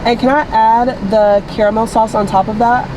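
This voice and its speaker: A young woman vlogging casually to camera, chatty and rambling, her voice deeper than usual after losing it, with air conditioning humming around her. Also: fairly even intonation, normal speed. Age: young adult. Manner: chatty, rambling, says y'all a lot. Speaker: vlogger, nursing student. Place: coffee shop and car. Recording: noisy background with air conditioning.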